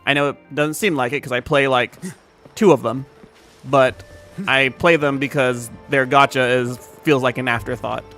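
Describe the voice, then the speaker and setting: A man's voice: medium pitch, fairly even intonation, normal speed, pushed and bright, faint music behind. Laid-back, profane young man streaming. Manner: laid-back and profane, with frequent fillers and laughs. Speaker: game streamer. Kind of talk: casual stream chat.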